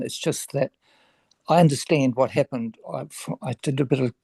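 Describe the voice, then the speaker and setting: A man in a casual discussion, explaining in a measured, hedging way. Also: mid-range voice, gravelly, a little mellow, husky, no room sound. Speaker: discussion participant.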